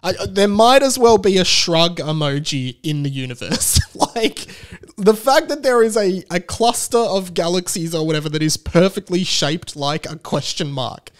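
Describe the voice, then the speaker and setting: A man with a slightly high voice delivers a casual comic monologue. He rambles and doubles back on his words, leaning into emphatic, incredulous delivery as a podcast host. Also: expressive, normal speed. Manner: rambling, stammering restarts. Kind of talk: casual comedic solo monologue.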